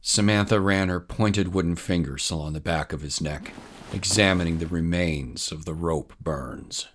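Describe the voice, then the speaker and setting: A man's voice: deep voice, moderate pace, fairly bright tone, slight background noise. A man narrating scripted dramatic fiction as a storyteller. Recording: music bed under the voice. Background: music.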